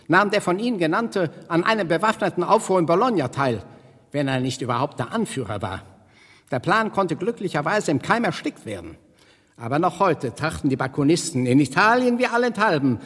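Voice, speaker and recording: A stern reactionary diplomat in a radio drama, ringing in a long reverb. His voice sits a little high for a man and has a rough husk, with clipped, pompous officialese and haughty disdain. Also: fairly bright tone, full character voice. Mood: sharp, indignant. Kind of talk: 1970s German radio play acting. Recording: reverberant hall sound.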